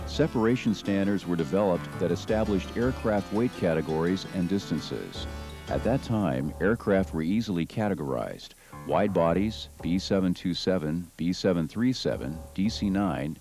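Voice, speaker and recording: A middle-aged American man narrating a training film in a measured, formal, instructional manner. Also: sits low, expressive. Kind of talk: scripted documentary narration. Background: music under the narration.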